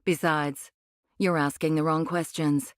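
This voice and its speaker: An actress playing a condescending cyborg angel of a rebel faction in an anime game, speaking in a measured, cryptic way. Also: female voice, low-pitched, little pitch movement, dry recording, clean studio sound. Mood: condescending, knowing. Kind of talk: anime game voice acting, expository dialogue.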